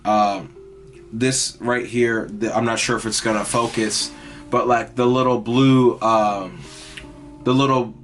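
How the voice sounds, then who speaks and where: A young man hosting his own podcast, talking in a loose, rambling way with lots of "like" and "you know", in a raspy, mostly monotone voice. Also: medium pitch, takes it slowly, soft background music. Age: young adult. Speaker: podcast host. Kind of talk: casual rambling monologue.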